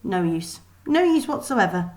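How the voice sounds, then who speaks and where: A woman reads a children's novel aloud as a storyteller. Her pitch is mid-range, and her delivery is deliberate and expressive, with emphasis on dramatic words. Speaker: teacher reading a book aloud.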